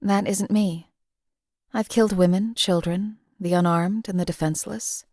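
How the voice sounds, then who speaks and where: A woman voicing a cold-blooded female assassin companion in a game, flat, clipped and detached. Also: medium pitch, moderate pace, no room sound, studio quality, close to an everyday voice.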